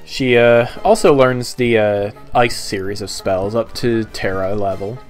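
A man giving casual, halting commentary on a video game, his phrases often trailing off. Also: on the low side. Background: video game music.

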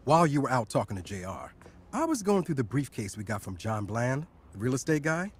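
Casting: eager young male schemer in a game, mid-range voice with lively swings, casual pitchman manner. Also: fairly quick, husky. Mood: confident. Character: enthusiastic, scheming young male crime entrepreneur.

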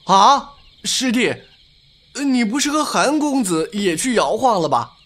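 A man's voice: sits high, expressive, unhurried, a bit bright, full character voice. A man playing a chatty, gossipy young disciple in an audio drama.

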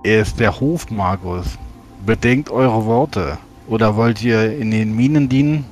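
A man's voice: on the low side, faint music behind, natural voice. A man voicing a haughty male agent of a court mage in a tabletop game, speaking formally and commandingly. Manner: formal, commanding, measured threats.

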